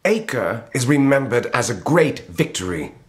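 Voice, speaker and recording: An actor playing a proud, hot-headed young would-be knight in a historical radio drama, fervent and declamatory. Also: male voice, medium pitch, sing-song, a little slow, a little hiss or hum. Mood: fervent, scornful. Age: young adult.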